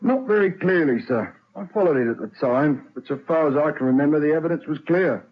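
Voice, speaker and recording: Actor as a highish-voiced, dutiful prison warder. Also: male voice, a little fast, lightly acted. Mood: sober, subdued. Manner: deferential to superior, plain-spoken. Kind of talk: old-time radio drama acting. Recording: roomy, muffled, noisy old radio recording.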